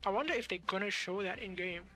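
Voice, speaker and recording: Bright-voiced young woman streaming a game. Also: medium pitch, fast. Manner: musing aloud, hesitant searching for words. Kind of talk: casual live game commentary. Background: game music.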